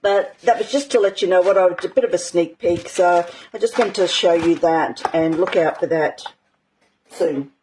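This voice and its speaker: A woman teaching a live art journaling session in a casual, rambling way, with many ums and a slightly croaky voice. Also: on the low side, moderate pace, noisy background. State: croaky, clearing her throat. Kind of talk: casual live craft demonstration.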